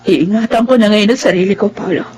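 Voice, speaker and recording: A woman's voice: mid-range voice, fairly quick, a little mellow, faint music behind, close to an everyday voice. An actress playing a remorseful, earnest young single mother in a radio drama, with a Filipino accent. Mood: sad, resolute. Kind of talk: radio drama acting and narration.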